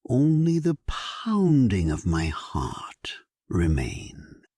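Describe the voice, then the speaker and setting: A man narrates a dramatic story in the first person with solemn gravity. His voice is on the low side and very slow, with a theatrical rise and fall. The sound is close and dry. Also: wildly swooping pitch, warm tone, husky, no echo.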